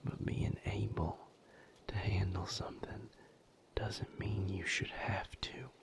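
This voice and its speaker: A man playing a protective, tender boyfriend in an intimate audio roleplay, speaking gently and earnestly in a breathy, creaky near-whisper. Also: low voice, flat delivery, heavily acted. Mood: tender pleading. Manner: slow, gentle, earnest, firm. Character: protective, tender male boyfriend tending his hurt partner.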